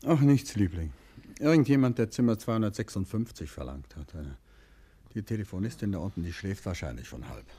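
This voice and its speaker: An actor playing a composed, affectionate gentleman detective and husband in a 1950s German radio play, speaking politely, discreetly and in measured phrases. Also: on the low side, expressive, husky. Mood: soothing, hushed. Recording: 1950s radio recording, faint noise.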